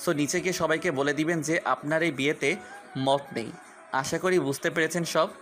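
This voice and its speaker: Man acting a high-voiced, menacing possessive young fiancé. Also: fairly even intonation, moderate pace, a bit bright, faint music behind, lightly acted. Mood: bitter, scornful. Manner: taunting, commanding, accusatory. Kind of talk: Bengali romantic audio story acting.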